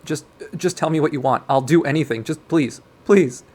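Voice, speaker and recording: A man's voice: a little high, moderate pace, a little mellow, voice pushed up, natural voice. A man playing a frightened young male student held captive in a visual novel, stammering and pleading desperately. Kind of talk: visual novel character acting.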